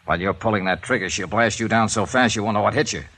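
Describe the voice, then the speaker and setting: An actor playing a hard-boiled, sardonic male insurance investigator with a brisk, accusing delivery, in a 1950s radio drama. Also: lowish voice, pushed and bright. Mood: tense.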